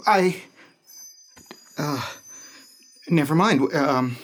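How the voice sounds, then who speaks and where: An actor in an audio drama playing an earnest young man in his twenties, easily flustered and halting. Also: a little high, full character voice. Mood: anxious, tender. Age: 20s. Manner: halting, excitable.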